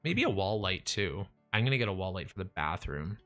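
Young guy rambling while he streams, kinda losing his voice, bright tone, faint music behind. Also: low-pitched, sing-song, a little fast. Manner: chatty, self-talking, restarts and trails off. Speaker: livestreaming gamer. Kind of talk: casual live-stream chat while building. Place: small room at home. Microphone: studio mic in front of face.

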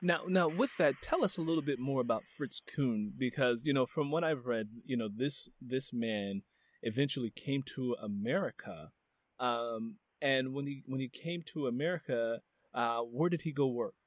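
Guy hosting an interview, average pitch, dry sound, kind of loops around his questions. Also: moderate pace, dry recording. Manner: asks long, looping questions. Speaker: interview host. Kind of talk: casual interview.

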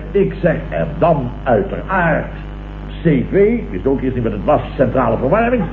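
An actor playing a pompous, blustering company boss in a vintage radio comedy, vain, excitable and often repeating himself. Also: male voice, fairly high pitch. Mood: smug, boastful. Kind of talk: 1960s Dutch radio comedy acting. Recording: muffled, hissy, echoey vintage radio recording.

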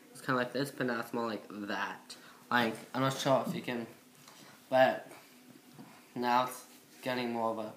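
Young woman, low masculine voice, bright edge. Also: a little hiss or hum. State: slight cold. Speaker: vlogger. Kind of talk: casual chat to camera, transition update. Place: indoor room by a window. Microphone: built-in device mic at arm's length.

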